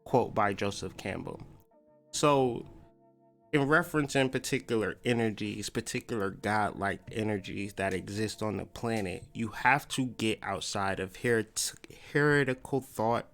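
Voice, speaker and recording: A low-pitched American man hosts a podcast in an informal, slangy way. He muses in a conversational stop-and-start monologue, with faint music under his voice. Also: measured pace, vocal fry, a bit bright. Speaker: podcast host. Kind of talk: loose conversational monologue on myth and spirituality.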